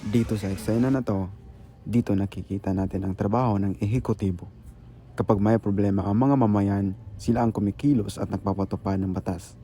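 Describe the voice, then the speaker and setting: Low, mellow Filipino man narrating. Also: fairly even intonation, brisk, breathy edge. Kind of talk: scripted explanatory narration. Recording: faint background noise.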